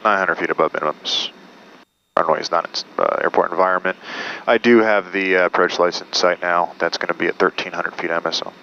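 A man's voice: low-pitched, fairly even intonation, brisk, sharp tone, lo-fi sound. A man piloting a small plane, narrating his approach and making radio calls in clipped checklist phrases. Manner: clipped checklist call-outs. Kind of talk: cockpit commentary and radio calls. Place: small aircraft cockpit in flight. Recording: noisy, band-limited cockpit audio.

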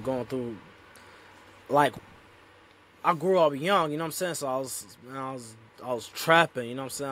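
A man's voice: medium pitch, a bit bright, a little hiss or hum. A man in his twenties, born in Sudan and raised in Australia, talking as a guest over a video call in laid-back street slang. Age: 20s. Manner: laid-back street slang, constant "you know what I'm saying". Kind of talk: casual interview talk. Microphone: headphones on, video call.

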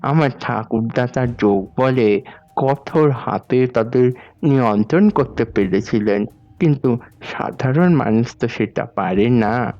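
A man playing a grave village priest in a horror audio story, speaking solemnly and deliberately. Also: mid-range voice, darkish tone, no room sound, muffled recording, soft background music, close to an everyday voice. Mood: grave, explanatory. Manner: solemn, deliberate, fatherly address to a younger woman.

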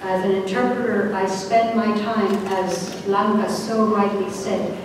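A woman in her sixties speaking as a panelist at a community event, measured and reflective. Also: medium pitch, fairly even intonation. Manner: measured, reflective, a few restarts. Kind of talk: panel talk. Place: community meeting hall, indoors. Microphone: table mic on stand. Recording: echoey hall from far off, noisy.

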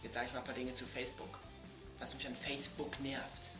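A man's voice: medium pitch, little pitch movement, brisk. A young adult man delivering an emphatic, exasperated comedic vlog rant in a bedroom, repeating himself for effect. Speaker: video blogger. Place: bedroom, indoors. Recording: echoey room, music behind.

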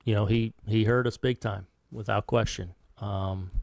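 A heartbroken man in his fifties answers reporters' questions, stopping and restarting often. His voice sits low and barely moves in pitch. The table mic sounds close and dry. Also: fairly even intonation, vocal fry, dry recording. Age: 50s. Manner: halting, soft-spoken, many restarts and fillers. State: heartbroken, subdued. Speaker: baseball manager. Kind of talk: postgame press conference answers. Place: press conference room. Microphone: table microphone.

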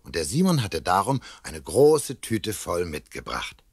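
A man's voice: deep voice, sing-song, unhurried, husky, no echo, exaggerated voice. A man narrating a children's audio drama in a scripted storytelling style. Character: storytelling narrator and castle tour guide.

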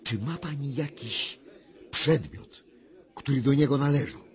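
A man acts a mysterious clairvoyant in a 1990s radio play. His voice sits at a medium pitch. He speaks with solemn intensity and deliberate, dramatic pauses. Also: darkish tone, lightly acted. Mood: solemn, reflective.

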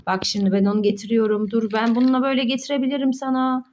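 A young mother with a high voice hosts a toy play video for children. She narrates playfully, with frequent exclamations and questions put to the little viewers. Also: flat delivery, racing, darkish tone, lo-fi sound.

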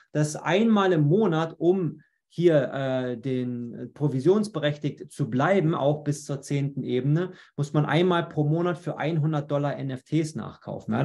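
A man's voice: fairly high pitch, darkish tone. A man presenting a webinar, walking viewers through a dashboard on screen, explaining step by step with frequent fillers and restarts. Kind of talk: screen-share walkthrough.

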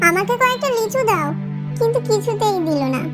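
A very high, childlike little fox girl from a children's cartoon. She is sweet and pleading, poor but kind, with music playing behind her. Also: lively intonation, a little fast. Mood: pleading, sad.

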